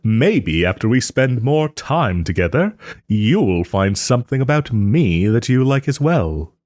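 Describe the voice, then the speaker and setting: Man playing a suave, slow-spoken, lively nobleman. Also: medium pitch, no room sound, studio quality. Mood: wistful, persuasive. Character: smug, soft-hearted young nobleman, former lover. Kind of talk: audio roleplay, dramatic monologue to listener.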